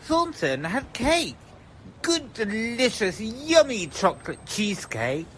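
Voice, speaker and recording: A woman's voice: a little high, big pitch swings, slow pace, a little hiss or hum. A woman playing a childlike, dreamy, rambling eccentric in an audio drama.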